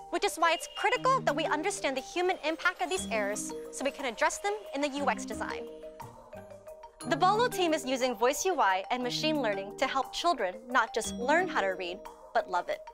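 A young woman presents in a fairly high, articulate voice. Her delivery is polished and scripted, like a host addressing the camera, with music clearly playing underneath. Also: sing-song, brisk. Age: young adult.

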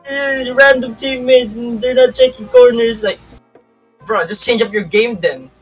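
A man's voice: extremely high pitch, fairly even intonation, a little slow, breathy edge, soft background music, poor audio. A man joining as guest, talking bluntly and teasing in casual chat, swearing offhand. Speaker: guest co-host.

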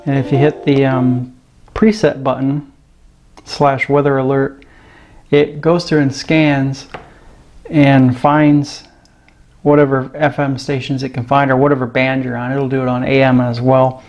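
A man giving a casual, hands-on radio review in a room at his desk, talking slowly and filling pauses with ums and uhs. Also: mid-range voice, flat delivery, darkish tone, lo-fi sound. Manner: unhurried, hesitant, many ums and uhs. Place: indoor room at a desk with gear. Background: radio noise and static at times.

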